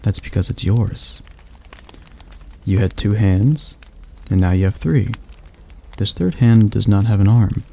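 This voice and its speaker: A man voicing a patient male demon tutor who teaches magic in a calm, gently commanding ASMR roleplay. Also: on the low side, unhurried, gravelly, long pauses, heavily acted. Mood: coaxing.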